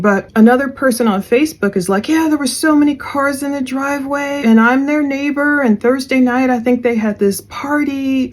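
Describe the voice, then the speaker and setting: A woman speaks as the host of a true crime commentary. Her delivery is casual and conversational, often thinking aloud and speculating. Her voice sits at a middle pitch. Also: darkish tone.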